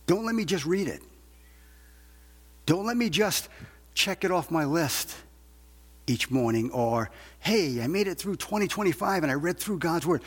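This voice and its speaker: Husky male preacher in a reverberant hall. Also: on the high side, very animated, a little slow, long pauses. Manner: emphatic, repeats phrases with rhetorical questions. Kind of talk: evangelical sermon to a congregation.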